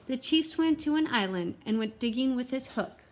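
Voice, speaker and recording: A woman reading a picture book aloud to young children in an expressive way, with simple asides to her listeners. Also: high-pitched, moderate pace. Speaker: read-aloud teacher and storyteller.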